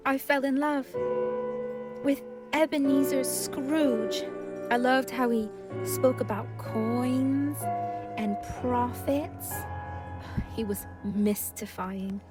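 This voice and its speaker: A woman playing a melodramatic, lovelorn, fretful woman with theatrical pauses in a comic radio play. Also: fairly high pitch.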